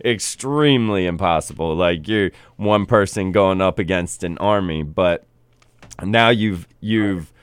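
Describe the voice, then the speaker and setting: A male radio host interviewing a coach, speaking haltingly with many restarts. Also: deep voice, clean tone, no room sound, clean studio sound. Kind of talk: casual interview.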